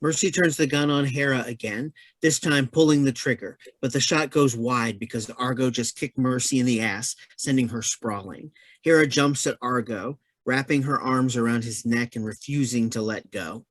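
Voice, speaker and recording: A man reading stage directions aloud in a plain, even voice during a staged play reading. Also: medium pitch, moderate pace, no echo.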